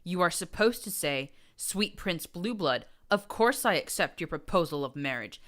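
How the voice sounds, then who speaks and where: A woman's voice: lowish voice, animated, normal speed, fairly bright tone. A woman voicing a pompous, deranged undead male pony prince who hisses with false gentility and breaks into screams. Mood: hissing mock-gentle. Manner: hissing, falsely genteel, erupting into screams.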